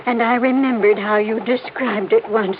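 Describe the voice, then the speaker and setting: A woman's voice: on the high side, fairly even intonation, moderate pace, over music, close to an everyday voice. An actress playing an anxious, earnest older woman held captive in an old-time radio crime drama, pleading in manner. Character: frightened, earnest older female captive, kind-hearted. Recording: tinny vintage radio recording.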